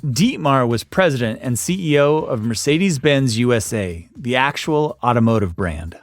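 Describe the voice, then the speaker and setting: A man's voice: mid-range voice, animated, moderate pace, no room sound, faint music behind. A man reading scripted, informative narration as the host of a documentary series.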